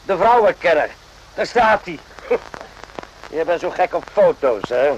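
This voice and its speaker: A man's voice: on the high side, animated, a little slow, a little hiss or hum, rough recording. A man acting in a radio drama as an irritable, bullying army sergeant who curtly barks questions. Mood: sarcastic, probing. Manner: curt, impatient, barking questions.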